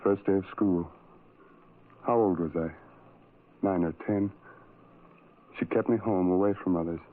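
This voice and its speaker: A man's voice: low-pitched, a little slow, slight background noise. An actor playing a tormented, self-loathing lonely man in a radio drama monologue, brooding and repeating phrases in a rhythmic chant. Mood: bitter recollection. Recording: tinny, narrow-band old radio recording.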